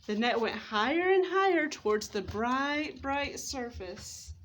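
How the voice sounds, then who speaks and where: A woman with a fairly high voice reads a storybook aloud as narrator. Her delivery is expressive and scripted, like readers theater, with small slips in the reading. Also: a little slow, slight background noise.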